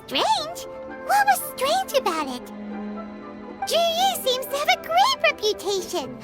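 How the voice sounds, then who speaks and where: A woman voicing a small, curious, excitable childlike fairy in an anime video game, squeaky and cutesy, with music behind her. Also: slow, heavily acted. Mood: puzzled. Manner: wide-eyed, exclaiming, questioning.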